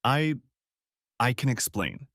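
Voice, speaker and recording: A man voicing a guilty, fragile wife who pleads tearfully and haltingly in a story. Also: mid-range voice, sing-song, fairly bright tone, no echo, studio quality, exaggerated voice. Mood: frightened pleading. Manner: pleading, halting, sobbing delivery.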